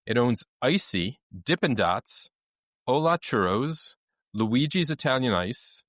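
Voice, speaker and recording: A man's voice: medium pitch, sing-song, slow pace, fairly bright tone, no room sound, clean studio sound. Wry man with a mid-range voice. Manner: wry, reads items off lists. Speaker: podcast co-host. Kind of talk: casual chat, reading packaging.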